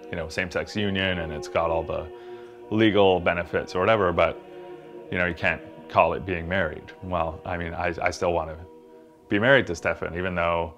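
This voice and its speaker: A man talking casually and reflectively in an interview, in hesitant, meandering phrases. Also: sits low. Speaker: interviewee in a documentary.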